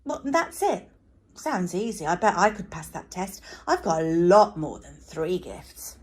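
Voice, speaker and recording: A woman's voice: fairly low pitch, sing-song, measured pace. A woman voicing a cheeky, scheming young boy in a storybook, cocky and eager in manner. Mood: sly, boastful. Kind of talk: storybook read aloud.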